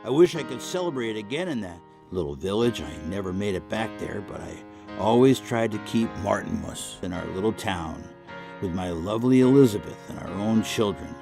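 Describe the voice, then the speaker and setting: A man's voice: on the low side, animated, normal speed, vocal fry. A man playing a nostalgic American war veteran, narrating his memories in a reflective, sentimental way for an audio drama. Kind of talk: first-person dramatic narration. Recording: plucked guitar music behind.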